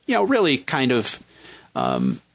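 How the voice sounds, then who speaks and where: A man in his fifties with a Midwestern accent talks about himself in a relaxed, halting way, often pausing on "uh" and "you know". His voice sits at an ordinary middle pitch. The sound is dry and close. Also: vocal fry, slightly rough, no echo. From Michigan, raised in Western New York. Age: 50s. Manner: hesitant, many fillers and restarts. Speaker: guest, single dad looking for a partner. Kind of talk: casual chat.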